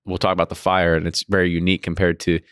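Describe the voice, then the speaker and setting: A man's voice: low voice, monotone, rapid-fire, fairly bright tone, clean tone, no room sound, clean studio sound. A man hosting a podcast interview, talking casually and rambling, often restarting his phrases. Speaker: podcast host.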